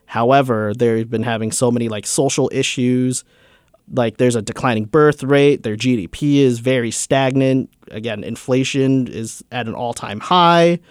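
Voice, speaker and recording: Young male student guest, mid-pitched, eager and filler-heavy, dry close sound. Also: moderate pace, clear, resonant tone, dry recording. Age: young adult. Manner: earnest, filler-heavy with many likes and uhs. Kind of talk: casual interview answers.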